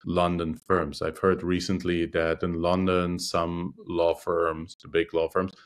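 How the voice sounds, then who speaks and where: A man who is a native Russian speaker, a lawyer guest speaking in a measured, thoughtful interview manner with frequent hesitations. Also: low voice, monotone. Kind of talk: conversational interview.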